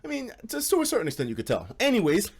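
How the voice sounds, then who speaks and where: A man streaming casual, animated stories to a live chat, with slangy, profane phrasing and dramatic emphasis. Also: sits high, very fast, husky. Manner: profane, slangy, acts out quoted dialogue; dramatic emphasis and repetition for suspense.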